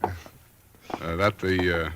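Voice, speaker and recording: A man's voice: low voice, flat delivery, very slow. A man playing an easygoing, skeptical friend in American 1940s radio drama, talking in a wry, casual way. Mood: friendly, wry. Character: easygoing, skeptical male friend humouring a worrier. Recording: noisy old radio recording with clicks.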